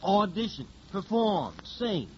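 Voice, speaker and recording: A man's voice: sits high, sing-song, slow pace, a little mellow, dull audio, a little hiss or hum, heavily acted. A man in an old radio drama playing a weary, brusque record company man who fires off curt questions.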